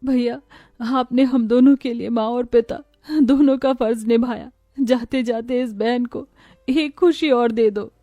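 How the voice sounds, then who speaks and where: Brief: a woman playing earnest young cartoon sister, pleading and warm-toned. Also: a little high, steady tone, unhurried, dry recording, dull audio, soft background music, lightly acted. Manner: pleading, emotional. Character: two poor young sisters, tender and tearful. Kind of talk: cartoon voice acting.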